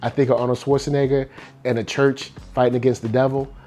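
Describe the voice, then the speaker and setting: A man's voice: medium pitch, flat delivery, fast, music in the background. A man and father chatting casually on a podcast, laid-back and joking, full of street slang. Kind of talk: casual chat.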